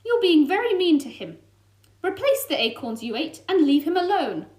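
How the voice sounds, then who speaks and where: Woman doing a plucky young boy cat, high voice, lively and insistent, storybook. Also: normal speed. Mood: scolding. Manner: eager, insistent. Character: young male pet cat, bold and adventurous.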